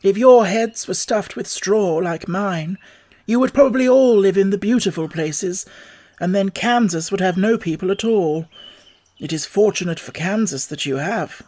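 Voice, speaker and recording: A man playing an earnest, high-voiced storybook scarecrow. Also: moderate pace, a little mellow. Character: earnest, simple-hearted straw scarecrow, newly made.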